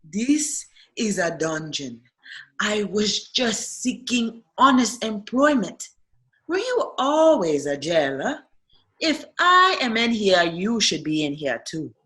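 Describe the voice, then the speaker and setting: Woman doing an indignant demanding lady prisoner, radio play, slow emphatic delivery, very animated. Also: mid-range voice, full character voice. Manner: imperious, formal, insistent. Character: indignant, demanding fairy-tale female prisoner. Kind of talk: irreverent radio play acting.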